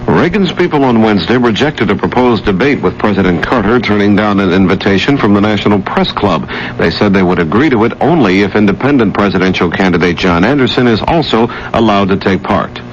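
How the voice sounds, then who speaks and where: Low-voiced man reading radio news. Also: animated, brisk. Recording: dull noisy old radio broadcast.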